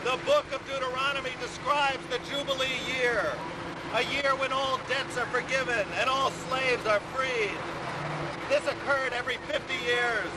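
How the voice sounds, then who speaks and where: A hoarse man giving a deadpan mock campaign speech outdoors on a city street. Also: very high voice, normal speed. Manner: deadpan comic oratory, chanting slogans. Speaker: mock presidential candidate. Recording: loud crowd and street noise.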